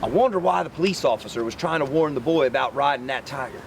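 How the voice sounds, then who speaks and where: A man reading a children's book aloud expressively like a teacher, pausing to quiz his listeners. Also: creaky. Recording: distant mic, rumbly background noise.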